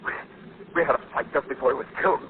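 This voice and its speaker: An actor in 1940s American radio drama playing a frightened, rough carnival giant who pleads in halting, desperate slang. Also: male voice, medium pitch, narrow, tinny audio, close to an everyday voice. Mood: desperate pleading. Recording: noisy, thin 1940s radio broadcast recording.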